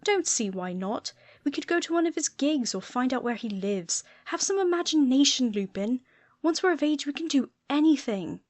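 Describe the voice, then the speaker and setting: A woman's voice: high voice, expressive, moderate pace, pitched above the performer's own voice. A woman voicing a cocky, playful teenage schoolboy with a casual, mock-offended manner in an audiobook. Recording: music playing underneath.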